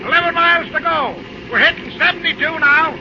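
Man playing scrappy folksy train guy, real high voice, slangy, noisy old radio show. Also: steady tone, brisk, lo-fi sound. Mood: eager, puzzled. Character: folksy, excitable young railroad man, scrappy and loyal. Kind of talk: old-time radio drama acting.

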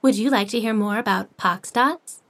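A woman's voice: mid-range voice, moderate pace, fairly bright tone, lightly acted. A woman playing a polite, relentlessly upbeat AI voice assistant with a scripted, salesy courtesy, in an audio drama. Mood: smooth, cheerful politeness.

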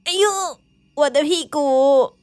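A storyteller voicing a girlish, excitable young moon lady in a children's audio story, drawing out exclamations. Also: high-pitched, slow pace, faint music behind, pitched above the performer's own voice. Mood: startled. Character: young moon lady Chang'e, girlish, indignant, easily startled.

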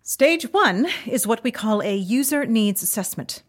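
Officious minister, fairly high voice. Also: female voice, expressive. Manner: formal, measured, bureaucratic. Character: polished, officious, patient female government minister. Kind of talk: comedic fantasy audio drama acting.

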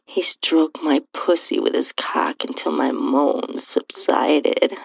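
A woman narrating a first-person erotic story in an intimate, breathy way, often dropping toward a whisper. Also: deep voice, steady tone, slow pace. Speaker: podcast host reading own stories.

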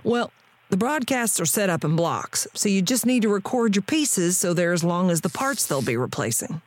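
A woman's voice: fairly low pitch, big pitch swings, normal speed, a little hiss or hum. A woman playing a world-weary, plain-spoken radio tinkerer with a folksy frontier manner in an audio drama. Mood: knowing, practical.

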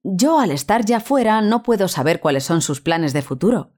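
A woman playing a composed, guarded astronaut being interviewed in a scripted audio drama, with measured answers. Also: medium pitch, very animated, a little mellow. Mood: evasive. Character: composed, guarded Spanish woman astronaut turned university researcher. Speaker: interview guest.

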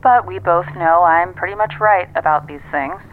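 A woman's voice: fairly low pitch, moderate pace, slight background noise. A woman acting in an audio drama as a sardonic, self-assured investigator relaying findings matter-of-factly over a phone line. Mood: cautioning. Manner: matter-of-fact, dryly wry. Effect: telephone filter. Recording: tinny phone line, music underneath.

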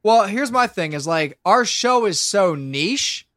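A man's voice: sits high, expressive, takes it slowly, pushed and bright, dry recording, studio quality. A man in his late twenties with an American accent chats casually on a podcast, rambling, swearing and often interrupting himself. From Pennsylvania, US. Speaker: podcast guest, show creator and musician.

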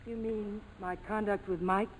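An actress playing a defiant, wary young woman with sharp, clipped retorts in a 1940s radio drama. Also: mid-range voice, fairly even intonation, measured pace, breathy edge. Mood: softened, guarded. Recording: vintage radio broadcast, reverberant.

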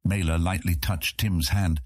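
A man's voice: low-pitched, fairly even intonation, unhurried, slight background noise. A man narrating scripted fantasy fiction as an audiobook narrator.

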